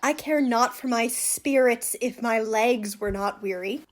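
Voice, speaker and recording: Woman as a wry, grumbling jester, unhurried. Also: on the high side, lightly acted. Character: wry, grumbling court fool on a journey. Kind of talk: Shakespearean radio play acting.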